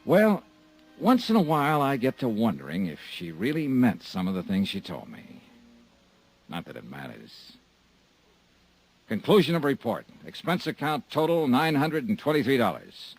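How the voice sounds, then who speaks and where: A wry hard-boiled investigator. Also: male voice, medium pitch, wildly swooping pitch, normal speed, breathy edge, heavily acted, low-quality recording. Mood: narrating. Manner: dry, wry and tough. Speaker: narrator and lead. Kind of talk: 1950s radio drama narration.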